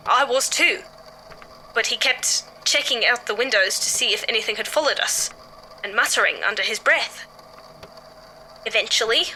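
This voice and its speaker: A woman playing a shaken young male podcaster giving a halting, earnest witness statement in an audio drama. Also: a little high, sharp tone, slight background noise, poor audio. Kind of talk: first-person audio drama monologue.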